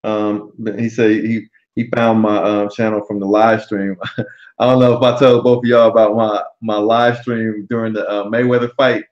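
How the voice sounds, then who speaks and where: A man in his forties hosting a casual livestream chat, relaxed and often pausing on um. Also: fairly low pitch, lo-fi sound. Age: 40s. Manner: relaxed, frequent um fillers. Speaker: livestream host. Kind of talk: casual live-stream chat.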